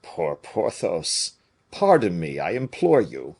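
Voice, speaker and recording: A man reading an audiobook, voicing a grave, measured conspirator bishop who speaks in a solemn, placating way. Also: sits low, huge pitch swings, darkish tone, breathy edge, heavily acted. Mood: remorseful, pleading. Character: Aramis, grave, smooth-talking conspiring bishop.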